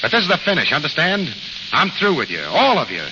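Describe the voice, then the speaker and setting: Shrewd American gang leader, radio play; lively intonation, gangster slang, reverberant old recording. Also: male voice, fairly high pitch, brisk. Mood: angry, commanding. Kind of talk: 1930s-40s radio drama acting. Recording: dull, noisy vintage radio recording, long reverb.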